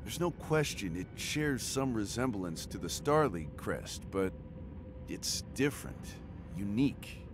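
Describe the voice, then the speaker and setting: A man playing a calm, pensive mercenary commander in a sci-fi video game, speaking in a measured, matter-of-fact way. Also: lowish voice, noisy background. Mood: calm, thoughtful. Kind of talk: video game cutscene acting. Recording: music under the voice. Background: music.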